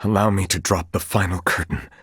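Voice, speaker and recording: An actor playing an earnest, guilt-ridden young knight in a fantasy video game, speaking in formal, archaic courtly phrases. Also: male voice, sits low, steady tone, fairly quick, slightly rough, no room sound, studio quality. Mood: grieving, remorseful. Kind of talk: dramatic fantasy game voice acting.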